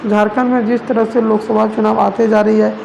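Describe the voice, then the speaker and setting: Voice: medium pitch, little pitch movement, normal speed, vocal fry, darkish tone, rough recording. A news presenter narrating regional reports in wordy, repetitive phrasing. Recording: noticeable background noise.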